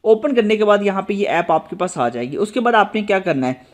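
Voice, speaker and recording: Male tutorial host, high register, instructional delivery straight to the viewer. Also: lively intonation, fairly quick. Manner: instructional, repeatedly addressing viewers. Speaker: host of a tech tutorial channel. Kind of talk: screen-recorded app tutorial narration.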